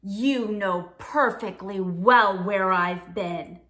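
A woman voicing an indignant, fussy jellyfish TV host from a picture book, drawn-out, accusing and exasperated. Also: mid-range voice, fairly bright tone, roomy sound, voice pushed down. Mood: annoyed. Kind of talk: children's picture book read-aloud.

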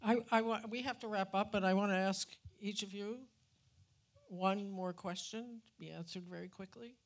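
A woman's voice: medium pitch, fairly even intonation, moderate pace. An older woman moderating a live panel discussion on an auditorium stage.

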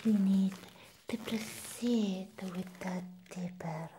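A young woman playing an eccentric, flustered vampire nurse in a whispered ASMR roleplay, slow and halting, repeating her words. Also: mid-range voice, noisy background, natural voice. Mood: musing, coy. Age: young adult. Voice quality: breathy, whispery. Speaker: ASMR performer. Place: small indoor room set as a clinic. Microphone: close microphone.

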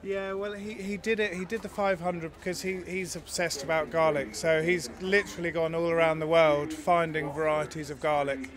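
A man's voice: a little high, level tone, moderate pace, hardly any pauses, crowd noise. Friendly man explaining at a show stall. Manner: friendly, explanatory, with fillers and restarts. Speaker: garlic farm stall worker. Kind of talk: casual interview answers. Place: outdoor show stall under a marquee. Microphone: handheld mic held by the interviewer.

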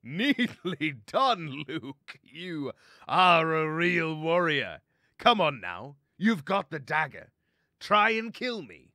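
A man's voice: a little high, big pitch swings, takes it slowly, pushed and bright, no room sound, studio quality, voice pushed up, full character voice. A man voicing a villainous pirate stoat captain in a storybook, theatrical, mocking and commanding. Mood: mocking, taunting. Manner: theatrical, taunting.

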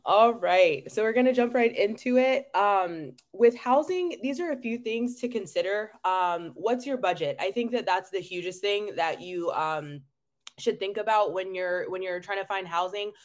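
A woman's voice: mid-range voice, animated, normal speed. A woman nurse hosting an online webinar on a video call, chatty and upbeat, with lots of ums and frequent laughs. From North Carolina, US. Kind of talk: casual webinar presentation. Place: home room.

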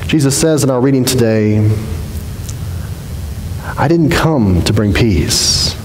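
A man in his forties, a pastor giving a sermon from a pulpit in a church sanctuary, speaking earnestly and slowing down for emphasis. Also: on the high side. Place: large church sanctuary, indoors. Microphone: headset mic at a pulpit. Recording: echoey hall reverb, faint hiss.

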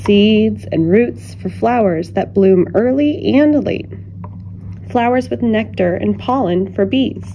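Slow, mellow woman reading a storybook. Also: mid-range voice, a little hiss or hum, rough recording. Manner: deliberate, expressive phrasing with dramatic pauses. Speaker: children's storybook reader. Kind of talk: read-aloud picture book narration.

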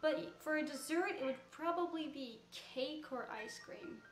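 A teenage girl from Canada with a high-pitched voice, chattily answering fan questions in a casual Q&A. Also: unhurried, roomy sound, faint music behind. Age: teens. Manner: chatty, rambling with fillers. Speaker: YouTuber answering Q&A. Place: room with couch, recorded in a reacted video.

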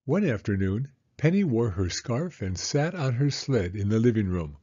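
A man narrating a children's read-aloud story in an expressive, deliberate manner. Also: mid-range voice, lo-fi sound.

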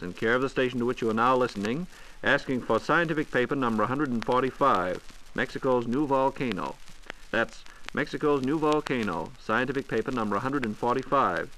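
A man's voice: mid-range voice, lively intonation, a little fast, fairly bright tone. An American man with a polished announcer delivery, hosting a scripted 1940s radio programme. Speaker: radio host and interviewer. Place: radio studio. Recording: noisy vintage 1940s radio transcription disc.

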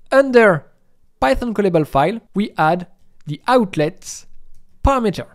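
Man, middle-aged, high voice, very varied pitch, step-by-step tutorial delivery, instructional. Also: darkish tone. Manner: instructional, explaining each step. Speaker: programming tutorial presenter.

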